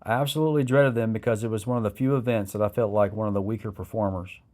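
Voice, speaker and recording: Male memoir narrator, lower-pitched, reading a scripted first-person military account. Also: steady tone, fast, darkish tone. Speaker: memoir author narrating his own military training.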